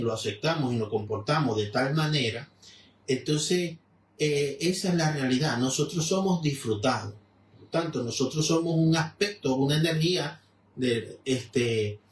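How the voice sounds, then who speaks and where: A man reading a devotional text aloud and explaining it in a measured way with frequent restarts. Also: fairly high pitch, far from the mic. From Venezuela. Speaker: religious lecturer reading and commenting. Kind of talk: spoken reading with commentary. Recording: echoey room, off-mic with faint noise.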